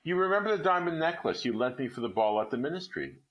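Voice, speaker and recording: A weary but proud poor woman, as a man puts her on for a storybook, pitched a little above his low voice. She speaks plainly and earnestly, with a lively rise and fall, and the sound is slightly dull. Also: quick pace, lightly acted.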